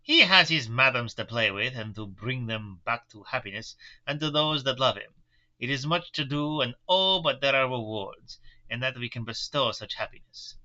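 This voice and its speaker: A man voicing a kindly, grave elderly professor in a put-on German-style accent, speaking in halting, broken formal phrases. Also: mid-range voice, animated, pushed and bright, highs cut off.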